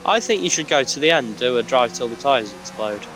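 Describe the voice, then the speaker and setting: A man's voice: medium pitch, animated, background noise. A man commentating on an online racing league, chatting and teasing the drivers in casual banter. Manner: teasing, chatty, quick-witted. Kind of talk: casual banter over online race stream. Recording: music playing behind voice.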